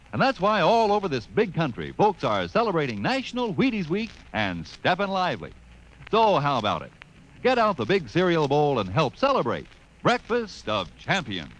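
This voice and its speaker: A man delivering an upbeat, folksy 1950s radio commercial as an announcer. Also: poor audio. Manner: peppy, folksy sales pitch. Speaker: advertisement announcer.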